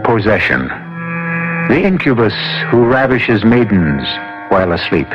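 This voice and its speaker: Spooky radio host guy, really slow, lowish voice, theatrical, music behind. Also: sing-song. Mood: ominous. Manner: deliberate, theatrical, portentous. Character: ominous, measured male storyteller introducing eerie tales. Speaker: host and narrator. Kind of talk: scripted old-time radio narration. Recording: muffled old radio recording with music.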